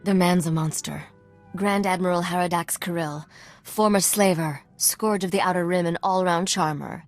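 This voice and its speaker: Low-pitched, wary starship crewwoman played by a woman. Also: fairly even intonation, moderate pace, no room sound, soft background music, natural voice. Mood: serious warning. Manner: earnest, sardonic. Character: sharp, cautious female starship crewmate of the captain. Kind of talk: video game cutscene acting.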